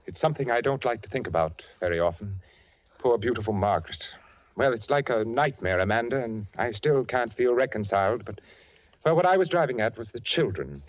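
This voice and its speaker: A man's voice: mid-range voice, lively intonation, fairly quick, lightly acted. A man playing an eccentric, uneasy widower. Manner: genteel, nervously insistent. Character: eccentric rich widowed father, smooth and uneasy. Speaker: radio drama actor. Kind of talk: 1940s radio acting. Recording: dull lo-fi 1940s radio broadcast.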